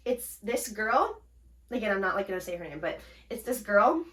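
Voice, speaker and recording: A young woman with a bright, forceful voice tells a story on a casual podcast. She is animated, acts out what people said, and laughs often. The room around her sounds echoey. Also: mid-range voice, normal speed. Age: young adult. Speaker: podcast co-host.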